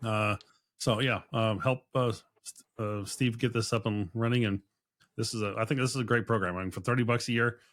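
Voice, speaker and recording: A man with a fairly low voice hosts a podcast interview. He chats casually and effusively, rambling along and circling back over his own phrases. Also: fairly even intonation, fairly quick, fairly bright tone. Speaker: podcast host.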